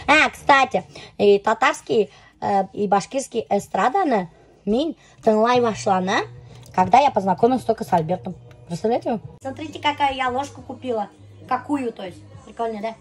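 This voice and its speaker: A woman with a Bashkir accent chatting casually as a vlogger, switching between two languages in an animated, exclamatory way. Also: on the high side, normal speed, slightly rough, faint music behind. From Bashkortostan, Russia.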